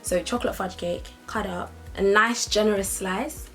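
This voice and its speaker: A woman's voice: mid-range voice, moderate pace, faint music behind. A young woman, talking casually and upbeat to her camera in a vlog. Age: young adult. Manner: chatty, lively and upbeat. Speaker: vlogger.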